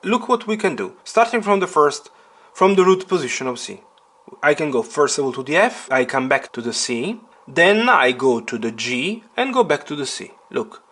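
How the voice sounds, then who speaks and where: A man giving a step-by-step piano lesson, explaining deliberately and emphatically and putting questions to the learner. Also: fairly high pitch, sing-song. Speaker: piano teacher. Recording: piano playing alongside the voice. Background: piano chords.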